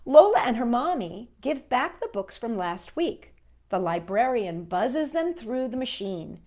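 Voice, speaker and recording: A woman's voice: a little high, very animated, a little slow, a little mellow. A woman hosting a children's storytime, reading a picture book expressively and chatting to young listeners in asides.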